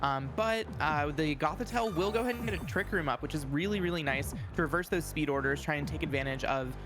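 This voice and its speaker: Quick, higher-voiced male color commentator. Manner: eager, explanatory, many "uh" fillers. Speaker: esports co-commentator. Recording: music playing under the voice. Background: background music.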